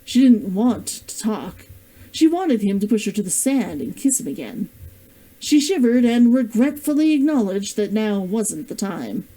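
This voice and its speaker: A woman reading her own novel aloud in an expressive storytelling style. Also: medium pitch, unhurried, low-quality recording. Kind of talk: expressive audiobook-style narration.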